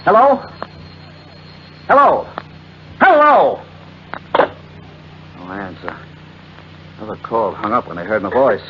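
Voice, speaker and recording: An actor playing a suspicious, insistent husband in a theatrical 1940s radio style. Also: male voice, lowish voice, huge pitch swings, slow, pauses often, exaggerated voice. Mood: angry. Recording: muffled, noisy 1940s radio recording.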